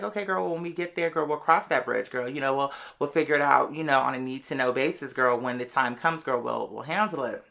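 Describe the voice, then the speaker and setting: A chatty vlogger with a bright, sharp voice at a middling pitch, spinning out a personal story for followers. The delivery is casual and sassy, full of slang and wandering side remarks. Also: vocal fry. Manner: rambling, digressive, sassy slang, calls everyone "girl".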